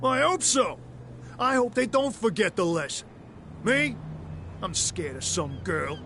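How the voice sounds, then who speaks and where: A man's voice: sits high, expressive, measured pace, background noise. An actor voicing an angry, boastful male sailor in a video game, ranting.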